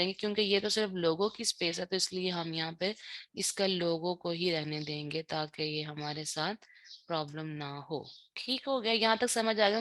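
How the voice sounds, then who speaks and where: This is a woman teaching a live software class. Her voice sits a little low and is recorded dry, with little room sound. She explains as she goes, slipping in English terms and often pausing to check that learners follow. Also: no echo. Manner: explanatory, often checks understanding with short tag questions; mixes English terms and phrases into speech. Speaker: online tutorial instructor. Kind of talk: live software lesson with screen walkthrough.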